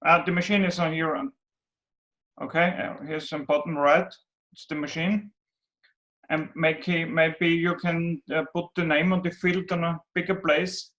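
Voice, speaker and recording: Austrian guy on a call, talks slow, lots of um while he hunts for words. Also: on the high side, little pitch movement, a bit bright. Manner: hesitant, searching for words. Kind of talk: casual video-call chat. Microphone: video call.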